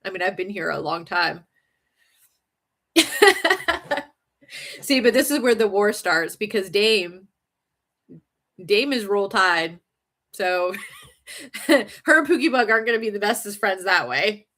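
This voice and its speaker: A woman with a slight Southern accent hosts a relaxed livestream, chatting with viewers in a rambling way. She fills her talk with ums and little laughs, and her voice sits at a middle pitch. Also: slow, pauses often. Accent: slight Southern American. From northern United States. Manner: chatty and rambling, many fillers and giggles. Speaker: livestream host. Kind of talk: casual livestream chat.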